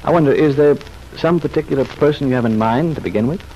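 An actor playing a kindly, patient psychiatrist with soothing, formal phrasing in an old radio soap opera. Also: male voice, mid-range voice, fast, warm tone, lo-fi sound, natural voice. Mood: reassuring. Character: kindly reassuring male psychiatrist, genial, patient, professional. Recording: faintly noisy vintage radio recording.

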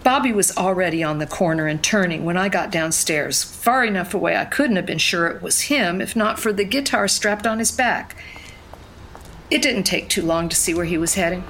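An actress playing a regretful young mother who recounts her past in a measured, reflective storytelling style for an audio drama. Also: on the low side, lively intonation, fairly bright tone, a little hiss or hum, rough recording. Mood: somber, reflective. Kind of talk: narrated audio fiction, confessional storytelling.

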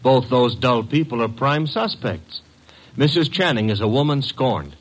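An actor playing a cheeky, loyal detective's assistant in a classic radio drama, breezy and wry with an American accent. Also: male voice, medium pitch, animated, measured pace, poor audio. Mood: brisk, keen.

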